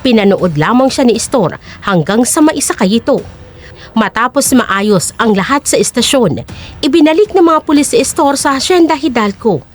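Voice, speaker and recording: A woman's voice: a little high, animated, moderate pace. A woman giving scripted, dramatic storytelling narration for an audio drama.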